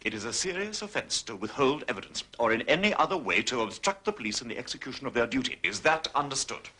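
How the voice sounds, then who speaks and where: Stern chief constable, forceful and husky. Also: male voice, medium pitch, expressive, fast talker. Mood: authoritative. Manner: official, brusque, interrogating. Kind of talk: British period mystery drama acting.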